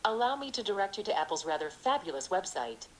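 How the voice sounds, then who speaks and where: A synthetic female phone assistant voice, polite and smooth. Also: mid-range voice, big pitch swings, fast, a little hiss or hum, low-quality recording.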